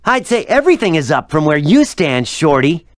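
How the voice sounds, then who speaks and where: An actor playing a plucky young dragon hero in a video game, boyish and cheeky. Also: male voice, on the high side, big pitch swings, moderate pace, no echo, clean studio sound. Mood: cocky. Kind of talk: animated video game character acting.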